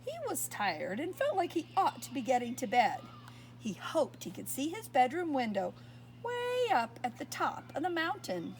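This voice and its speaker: A woman with a high, bright voice reads a children's picture book aloud. Her pitch swoops up and down with expression, and she stops now and then to ask her young listeners what comes next. A faint noise sits under the recording. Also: moderate pace. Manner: expressive, asks listeners questions. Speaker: storyteller.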